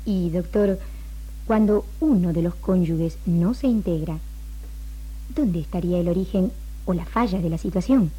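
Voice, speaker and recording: An actress with an Argentine accent playing a polite, formal television interviewer in a 1960s radio drama. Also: female voice, on the low side, unhurried, mellow, slightly rough. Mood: polite, presentational. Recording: dull old radio recording, faint music.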